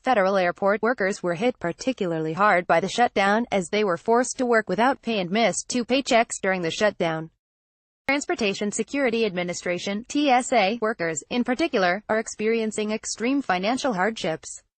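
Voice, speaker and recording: A woman's voice: medium pitch, fairly quick, no room sound. A woman reading scripted news narration in a formal, word-for-word manner.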